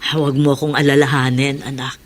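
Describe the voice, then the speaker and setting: A woman's voice: extremely low pitch, flat delivery, fast. A woman playing a worried, long-suffering middle-aged mother in a Filipino radio drama, with a plaintive, scolding manner. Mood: tired, gentle.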